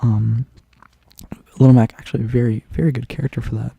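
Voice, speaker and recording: A man's voice: medium pitch, level tone, fast talker, gravelly, warm tone, slightly rough, dry recording, muffled recording. A man with a stuffy, congested nose, casually commentating a game tournament, rambling with lots of ums and restarts.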